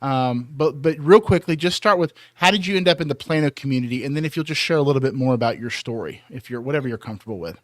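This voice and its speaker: A man's voice: medium pitch, fast, few pauses, no room sound. Casual male podcast host, dry close sound. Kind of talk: casual talk show interviewing.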